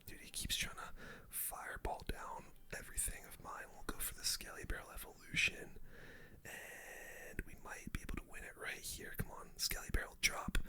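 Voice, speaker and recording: Whispering ASMR gamer, bright and breathy. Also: moderate pace. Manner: casual gamer slang, talks through each move. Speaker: game streamer. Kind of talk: whispered ASMR gameplay commentary.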